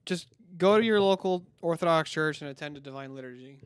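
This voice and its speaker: A male streamer giving profane, excitable commentary while playing clips on a live stream. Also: fairly high pitch, fairly quick, clean tone, dry recording.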